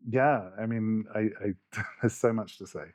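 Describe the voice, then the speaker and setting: A man's voice: fairly low pitch, unhurried, a little mellow. A man who grew up in the UK, a guest researcher speaking in a thoughtful interview, often restarting his sentences. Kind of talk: conversational interview.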